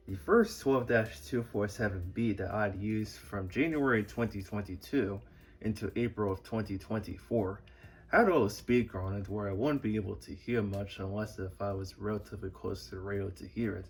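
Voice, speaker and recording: A man presenting a collection in a casual showcase style, with halting, wordy phrasing. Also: lowish voice, a bit bright. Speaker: video creator presenting a collection. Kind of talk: casual product showcase narration.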